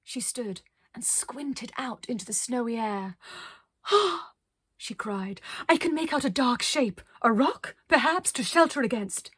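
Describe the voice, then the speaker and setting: A woman voicing a flamboyant, excitable woman artist with a high, breathy, theatrical voice in a children's storybook reading. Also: takes it slowly, no room sound, clean studio sound, full character voice. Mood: dramatic. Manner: overdramatic, calls friends pet names.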